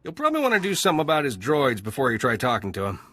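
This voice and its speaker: A man's voice: medium pitch, huge pitch swings, rapid-fire, bright, forceful tone, dry recording, exaggerated voice. A man playing a breezy, cynical salvage dealer in a video game, with casual, wry, chatty delivery. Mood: wry, scheming. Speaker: salvage trader character.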